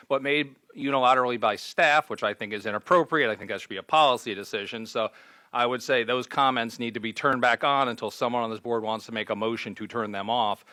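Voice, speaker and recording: Man, very brisk delivery, dry close sound, insistent board member, argumentative. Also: medium pitch, pushed and bright, dry recording. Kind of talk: formal meeting remarks, arguing a point. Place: county board meeting.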